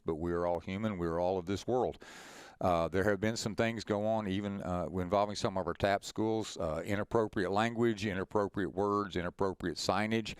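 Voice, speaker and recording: Middle-aged male organization head, folksy and encouraging. Smooth, lowish voice, informal conference-call address. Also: no room sound, studio quality. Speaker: head of a school athletics association leading a conference call.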